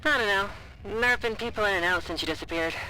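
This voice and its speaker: Woman doing chatty comms officer for audio drama, bright voice, bit of noise behind, eager and awkward. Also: medium pitch, fast talker, lightly acted, poor audio. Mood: sheepish, eager. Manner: casual, hesitant, joking. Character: chatty, caring communications officer, eager and deferential fan. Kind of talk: naturalistic audio drama dialogue.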